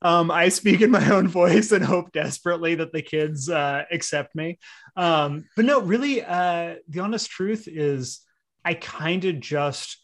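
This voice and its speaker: A man's voice: a little high, measured pace. A man in his forties, a writer guest chatting in a laid-back, rambling way, laughing often and using surfer slang. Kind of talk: casual podcast conversation.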